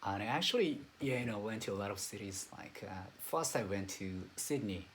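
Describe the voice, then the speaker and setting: A man's voice: lowish voice, lively intonation, a little fast, fairly bright tone, slight background noise. A man, an English learner chatting hesitantly on a video call with many filler words. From Japan. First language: Japanese. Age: 30s. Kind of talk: casual online lesson chat.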